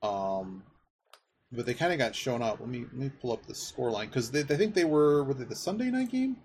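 A man's voice: medium pitch, lively intonation, a little fast, a little mellow. Enthusiastic, rambling man over background noise. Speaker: podcast host. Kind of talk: casual sports chat. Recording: clearly audible background noise.

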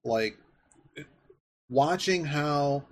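A man's voice: on the high side, expressive, extremely slow. Enthusiastic, rambling man over background noise. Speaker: podcast host. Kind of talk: casual sports chat. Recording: clearly audible background noise.

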